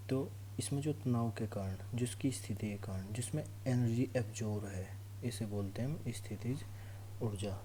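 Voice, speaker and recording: Low, mellow male teacher. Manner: instructional, repeatedly checks understanding. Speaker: physics teacher for exam students. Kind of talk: informal classroom lesson, explaining to students. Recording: clear background noise with hum.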